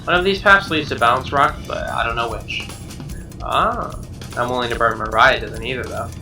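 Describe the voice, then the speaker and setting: A man reading a visual novel aloud as dramatic, expressive narration, with game music behind him. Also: mid-range voice, moderate pace. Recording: slightly distant mic under game music.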